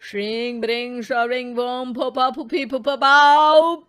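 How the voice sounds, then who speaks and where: Woman voicing a showy, high-pitched magician. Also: steady tone, slow pace, a bit bright. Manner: theatrical announcing. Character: showy male stage magician on television.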